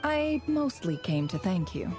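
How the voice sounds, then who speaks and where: A woman voicing a composed, courteous, quietly teasing noblewoman in a video game, speaking in a polite, measured, knowing way. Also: medium pitch, very animated, music in the background. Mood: gracious.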